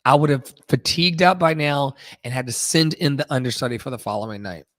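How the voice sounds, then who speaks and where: A man's voice: medium pitch, fairly quick, fairly bright tone, no room sound, clean studio sound. A man who sings and hosts a live audio show, chatting casually in an effusive, rambling, slangy and profane way. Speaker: singer hosting a live audio room show. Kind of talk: casual, rambling livestream chat.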